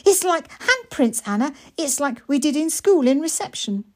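Storybook little boy, played by a woman: high-pitched, excitable, stubborn, childish, exclaiming. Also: normal speed. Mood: curious. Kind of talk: storybook character voice in audiobook reading.

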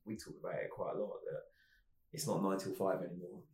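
A man with a very deep voice speaks as a podcast guest, rambling and chatty with many fillers. The recording has a roomy, echoing sound. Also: level tone, fast, darkish tone. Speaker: food director guest. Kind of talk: casual podcast interview. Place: office. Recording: roomy echo.

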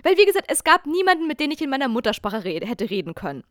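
A chatty young woman with German as her mother tongue, telling stories in a lively, self-mocking manner. Her voice sits a little high. The sound is dry and close, with no room around it. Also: fast talker, no echo. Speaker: podcast host. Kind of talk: casual storytelling chat.

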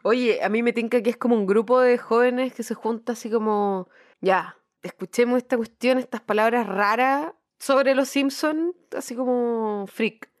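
Woman, Chilean accent, fairly high pitch, podcast host, casual chat, colloquial and slangy. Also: normal speed.

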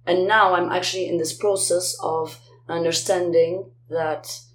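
A woman speaking as a guest singer in a reflective interview conversation, often halting as she searches for words. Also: lowish voice, fairly even intonation. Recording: roomy echo, faint background noise.

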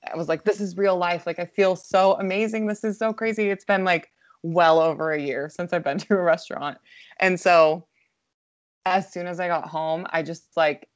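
A hoarse woman co-hosting a podcast, chatting casually with lots of "like" and anxious rambling. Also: on the low side, highs cut off. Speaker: podcast co-host. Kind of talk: casual chat.

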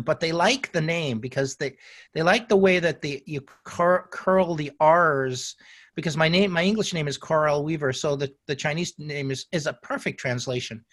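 An older man answers interview questions at length, his voice sitting a little high for a man. He talks in a storytelling way, often restarting and correcting himself mid-phrase. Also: fairly bright tone. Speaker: guest.

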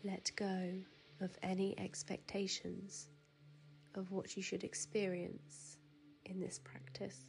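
A woman guiding a sleep meditation in a soothing, hushed manner, with soft breathy phrase endings and drawn-out pauses. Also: mid-range voice, monotone, slight background noise, poor audio. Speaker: meditation guide. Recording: piano music and waves behind. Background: relaxing piano music and ocean waves.

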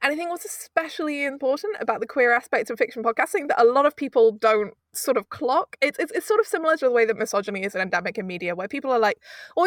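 A woman talks as an interview guest, pressing her points with emphatic rhetorical questions. Her voice sits fairly high. The recording is close and dry, with no room sound. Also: a bit bright, no room sound. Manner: fast, emphatic, rhetorical asides. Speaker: audio drama producer, guest. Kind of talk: conversational interview.

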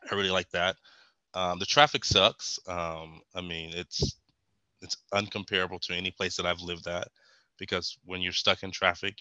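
A man's voice: low voice, sharp tone, dry recording. A young man from Texas, a guest in a casual interview, rambling with frequent ums and restarts. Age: young adult.

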